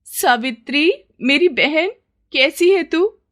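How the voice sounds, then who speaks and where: Woman as an emotional, higher-pitched housewife. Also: expressive, takes it slowly, a bit bright, heavily acted. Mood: overjoyed. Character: emotional city housewife, guilty and possessive mother.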